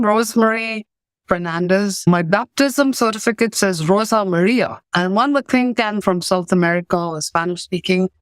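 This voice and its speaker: A woman's voice: medium pitch, no room sound. A woman from Pakistan whose first language is English, a certified coach telling her story earnestly in an interview over a video call. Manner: earnest, reflective.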